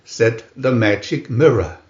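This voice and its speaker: A man reading a scripted storybook aloud in a deliberate, measured manner with pauses between captions. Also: medium pitch, a little mellow. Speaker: storybook reader. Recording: roomy, dull lo-fi sound.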